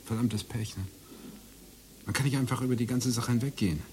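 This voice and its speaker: An actor playing a hesitant, ruminative academic in German radio play dialogue. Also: male voice, medium pitch, fairly even intonation, brisk, darkish tone, slight background noise, close to an everyday voice. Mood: troubled. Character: musing, hesitant male academic who studies Strindberg.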